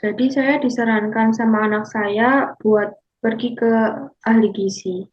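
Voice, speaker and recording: A woman's voice: fairly high pitch, fairly even intonation, natural voice. A woman playing a 65-year-old grandmother as a counseling client, speaking politely and deferentially. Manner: slow, polite, deferential, calls the counselor Mbak. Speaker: counseling client in a class role-play. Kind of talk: scripted role-play consultation. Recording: muffled lo-fi recording.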